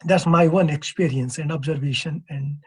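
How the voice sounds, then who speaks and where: A man in his fifties from East Nepal with a Nepali accent, giving a measured, earnest conference presentation as an earthquake engineer. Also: a little high, fairly even intonation, darkish tone, slightly rough. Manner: measured, earnest, poses questions to the audience.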